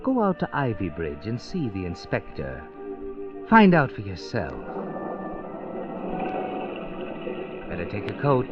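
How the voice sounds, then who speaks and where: A man playing an ominous, all-knowing narrator of a 1940s radio mystery, dramatic and insinuating. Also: medium pitch. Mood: urging. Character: ominous omniscient mystery narrator, needling the heroine. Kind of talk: 1940s radio noir narration in second person. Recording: muffled old radio with music behind.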